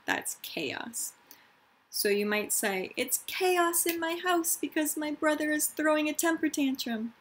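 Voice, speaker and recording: A woman's voice: mid-range voice, breathy edge. A woman, a teacher of young children reading aloud and explaining things simply, stressing key words. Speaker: elementary school teacher.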